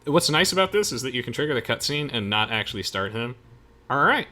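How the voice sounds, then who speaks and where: A man's voice: mid-range voice, sing-song, fast, pushed and bright. A young man hosting a let's play with casual, chatty and playful game commentary. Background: game music.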